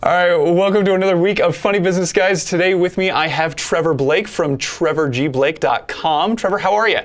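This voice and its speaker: A man's voice: high voice, a little fast, soft background music. A man hosting a podcast, talking in an informal, chatty way as he introduces and questions a guest. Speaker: podcast host and interviewer.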